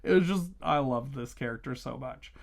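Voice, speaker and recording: A man's voice: mid-range voice, moderate pace, vocal fry. A man talking casually as a vlogging reviewer, gushing with emphatic, enthusiastic delivery. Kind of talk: casual chatty book review vlog.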